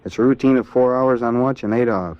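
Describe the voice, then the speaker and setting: A man with an American accent answering in a scripted 1940s radio interview as a Navy seaman, in a plain, earnest, measured way. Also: mid-range voice, no room sound, natural voice. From Ohio, United States. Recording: dull vintage 1940s radio recording.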